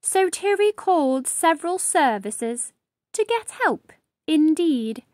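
A woman reads a children's story in a high voice with sweeping, animated intonation. She takes her time over the phrases, and the sound is dry and close. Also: no echo. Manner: animated, deliberate storytelling delivery. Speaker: children's storybook reader and host. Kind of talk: expressive read-aloud storytelling.

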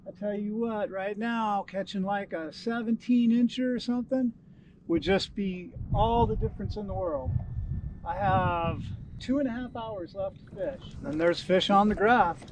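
High-voiced man narrating from his kayak. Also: a little slow, poor audio. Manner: low-key, talking to himself between catches. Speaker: kayak angler. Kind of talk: casual self-filmed commentary. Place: outdoors on a kayak on a lake. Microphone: action camera mounted on the boat. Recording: outdoor wind and water noise.

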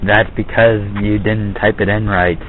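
A man putting on a menacing Russian mafia man for a prank phone call, making flat, slow, deadpan threats over a tinny phone line. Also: lowish voice, a little hiss or hum, lightly acted. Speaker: prank caller.

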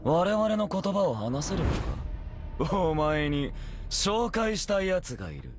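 An actor playing an arrogant, battle-hungry ice elf commander, taunting and contemptuous in a dramatic anime game villain style. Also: male voice, on the high side, big pitch swings, a little slow, noisy background. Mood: haughty amusement.

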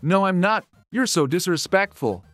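A woman's voice: lowish voice, animated, moderate pace, no echo, close to an everyday voice. A woman playing a protective, peacemaking sixteen-year-old boy in a dramatised online story. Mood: firm, protective.

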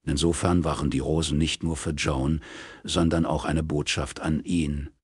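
A man reading literary prose as an audiobook narrator in a measured, sober manner. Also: a bass voice, level tone, a little mellow, breathy edge, dry recording, studio quality. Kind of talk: scripted literary narration in German.

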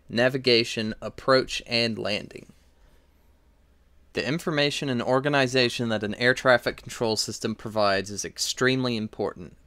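A man's voice: mid-range voice, a bit bright, dry recording. A young man reading a scripted lecture as a student presenter. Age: young adult.

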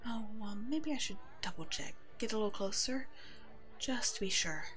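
A woman playing a kind, protective werewolf in an ASMR roleplay drama, speaking gently and reassuringly as if to a child. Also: medium pitch, expressive, normal speed, soft background music, natural voice. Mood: curious, wary. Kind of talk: ASMR roleplay audio drama, one-sided dialogue.

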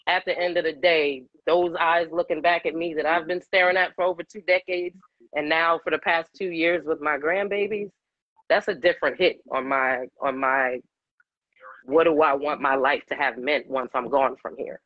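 A woman in her forties, a singer-songwriter guest with a deep voice, chatting animatedly and laughing often in an interview over a video call. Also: fairly even intonation, moderate pace, no echo, dull audio. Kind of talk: casual interview chat. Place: home room, video call. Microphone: webcam on video call.

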